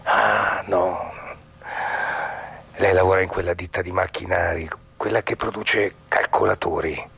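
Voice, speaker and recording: An actor playing a sardonic, unsettling mental patient who pries bluntly in a gravelly, half-whispered voice in a radio drama. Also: male voice, low-pitched, steady tone, measured pace, a bit bright, heavily acted. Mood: sardonic, prying.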